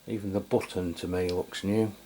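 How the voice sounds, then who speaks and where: Deep-voiced man, casual reviewer. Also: little pitch movement, normal speed, warm tone, slight background noise. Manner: hesitant, rambling, matter-of-fact. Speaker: radio review host. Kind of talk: casual hands-on product review.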